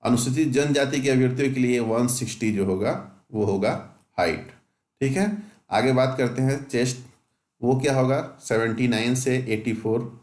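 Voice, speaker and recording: A man's voice: mid-range voice, darkish tone. A man with a North Indian accent explaining details in an informal, instructional way, as a coaching teacher. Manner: instructional, often asks a question then answers it. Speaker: exam coaching teacher and channel host. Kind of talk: informal explanatory lesson, reading out details with comments. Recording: echoey, roomy home-made recording.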